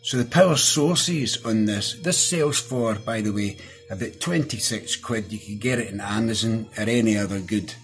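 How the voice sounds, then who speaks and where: A man's voice: lowish voice, normal speed, faint music behind. A middle-aged Scottish man giving a casual, chatty, down-to-earth hands-on product review. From Scotland. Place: small indoor room at a desk.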